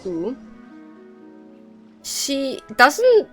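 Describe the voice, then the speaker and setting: A woman's voice: high voice, wildly swooping pitch, drawn-out words. A woman game streamer giving casual live commentary, wry, offhand and joking. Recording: game music behind the voice.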